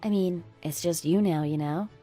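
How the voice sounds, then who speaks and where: A woman playing a fed-up, sharp-tongued married woman in a radio drama, speaking in an emphatic, scornful, lecturing manner. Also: fairly low pitch, normal speed, soft background music, natural voice. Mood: scornful, triumphant. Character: fed-up, sharp-tongued oldest daughter-in-law, a divorcing breadwinner. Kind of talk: scripted radio drama acting.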